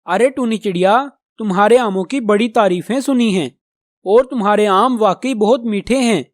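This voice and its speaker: A man voicing an eager, effusively flattering male parrot in a children's cartoon. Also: high voice, normal speed, no echo, clean studio sound, close to an everyday voice. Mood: eager, admiring.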